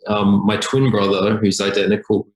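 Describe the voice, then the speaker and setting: A man from Australia speaks as a podcast guest over a remote line, relaxed and unhurried, padding his thoughts with ums. His voice sits low with a gravelly edge. The room around him is echoey. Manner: relaxed, many filler ums. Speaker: podcast guest, company founder. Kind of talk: casual interview talk.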